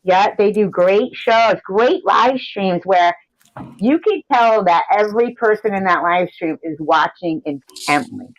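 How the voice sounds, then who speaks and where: A woman hosting a casual live-stream chat, chatty and quick to laugh, sounding tired. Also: mid-range voice, expressive. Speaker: host. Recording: dull lo-fi call audio.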